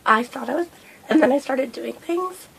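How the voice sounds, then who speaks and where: Woman, young adult, hoarse from a cold, higher pitch, rambling and frustrated, casual home vlog, faint background noise. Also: animated, fairly quick, poor audio. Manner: rambling, frustrated, lots of fillers. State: hoarse, losing her voice from a cold. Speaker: vlogger. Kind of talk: casual mukbang vlog chat. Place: indoors at home under a hot light.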